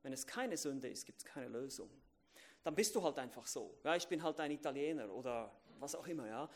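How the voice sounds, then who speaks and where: A man preaching a sermon to a congregation, repeating key phrases, asking questions and swinging from loud emphasis to quiet asides. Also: medium pitch, expressive, a little fast.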